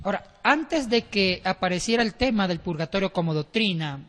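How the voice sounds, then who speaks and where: A man's voice: sits high, animated, brisk, a bit bright. A man explaining church history in a casual discussion, often restarting his sentences. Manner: explanatory, with frequent restarts and hesitations. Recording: long reverb.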